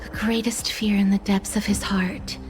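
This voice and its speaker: A woman playing a gentle, dutiful companion in a fantasy game, speaking softly and formally. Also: medium pitch, flat delivery, moderate pace, natural voice. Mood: solemn. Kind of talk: dramatic game dialogue. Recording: background music behind the voice.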